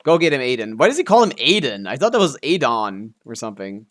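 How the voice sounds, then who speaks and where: A man's voice: mid-range voice, big pitch swings, fast, fairly bright tone. Laid-back man chatting on a game stream. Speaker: live streamer playing a video game. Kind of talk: casual gaming stream chat and commentary.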